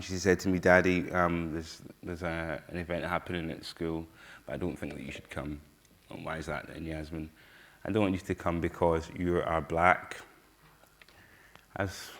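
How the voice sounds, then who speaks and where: Low-voiced glaswegian guy giving a conference talk, earnest, keeps restarting his sentences. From Scotland. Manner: earnest, halting, many restarts. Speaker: conference speaker. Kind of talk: personal storytelling talk.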